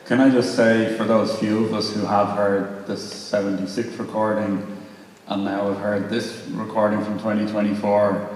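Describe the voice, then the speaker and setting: A man giving considered closing remarks at an event, in a measured, hesitant manner. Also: fairly low pitch, flat delivery, darkish tone, slight background noise. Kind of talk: considered spoken tribute. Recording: very echoey hall, far from mic.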